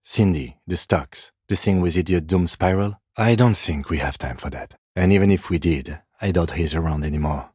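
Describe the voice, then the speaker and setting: A male video game police detective with a very deep, slightly husky voice. He is composed and dryly formal, measuring each phrase with restrained exasperation. The sound is close and dry. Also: moderate pace, hardly any pauses, warm tone, dry recording. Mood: calm, dry. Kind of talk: scripted video game dialogue.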